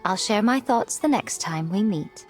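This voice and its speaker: A woman's voice: mid-range voice, animated, a little slow, soft background music, natural voice. A woman voicing a sly, elegant, self-assured fox lady in a video game, teasing and condescending with poised phrasing. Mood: playful.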